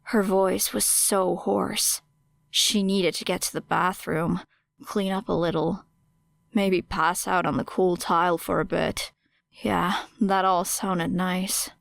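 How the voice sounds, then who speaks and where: Woman reading an audiobook story, slow and measured, dramatic, super dry sound. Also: lowish voice, fairly even intonation, creaky, dry recording. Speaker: audiobook narrator. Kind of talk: scripted dramatic narration.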